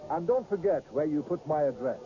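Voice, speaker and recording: An actor playing a courteous, mysterious older gentleman in a radio drama, speaking in a formal, measured and assured way. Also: fairly high pitch, lively intonation, music in the background, natural voice. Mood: breezy, reassuring. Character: courteous, mysterious old gentleman who grants second sight. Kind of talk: 1980s radio drama acting. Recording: muffled old radio drama recording.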